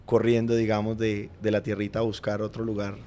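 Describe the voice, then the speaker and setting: A man with a Colombian accent, a podcast guest, reflectively recounting his family's past in an earnest, unhurried way. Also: fairly low pitch, monotone, mellow, a little hiss or hum. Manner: earnest, reflective, frequent "digamos" and "pues" fillers. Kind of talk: personal storytelling in conversation.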